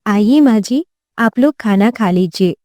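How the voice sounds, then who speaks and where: A woman's voice: medium pitch, lively intonation, a little slow, mellow, close to an everyday voice. A woman voicing a devoted, kindhearted, careworn young wife in a cartoon tale, earnest and emotional in delivery. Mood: dutiful, gentle.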